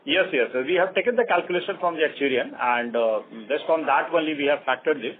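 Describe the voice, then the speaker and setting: A man with an Indian accent, a bank executive answering analysts' questions in a halting, repetitive way. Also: a little high, expressive. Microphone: conference call line. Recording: tinny phone line with clear noise.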